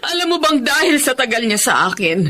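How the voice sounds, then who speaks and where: Distraught wealthy mistress, Filipino accent, high piercing voice, swooping intonation, melodramatic radio drama. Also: female voice, sing-song, fairly quick, background noise. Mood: distraught, scolding. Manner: melodramatic, fretful. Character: Ma'am Emma, distraught, demanding female dog owner.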